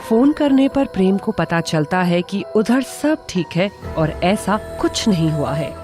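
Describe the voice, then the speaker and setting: A woman narrator reading a scripted animated horror story. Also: mid-range voice, measured pace, over music.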